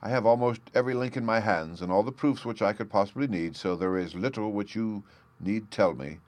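A suave gentleman detective from a storybook, acted out by a man. He speaks precisely and knowingly, with genteel command, in a close, dry sound. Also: on the low side, fairly quick, dry recording, pitched above the performer's own voice, natural voice. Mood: accusing, measured.

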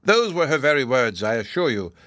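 A man's voice: medium pitch, sing-song, a little fast, a bit bright, dry recording, pitched above the performer's own voice. A man voicing a cheery, chuckling, absent-minded old professor in an audiobook. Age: older. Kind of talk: audiobook character voice.

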